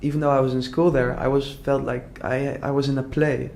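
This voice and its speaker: A man's voice: mid-range voice, flat delivery, fairly quick, vocal fry, darkish tone, slight background noise. A young man from the Netherlands talking casually and earnestly in an interview, full of "like" fillers and restarts. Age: young adult. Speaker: entrepreneur guest.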